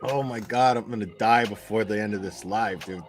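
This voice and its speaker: A man's voice: on the low side, normal speed, faint music behind. A man hosting a livestream, giving casual, slangy and sarcastic commentary on clips in an amused way. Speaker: livestream host reacting to clips.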